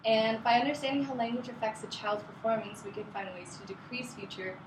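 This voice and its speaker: A woman's voice: a little high, fairly even intonation, brisk, echoey room, background noise. A young woman giving an informative speech to her class, earnest and reading prepared points with casual fillers.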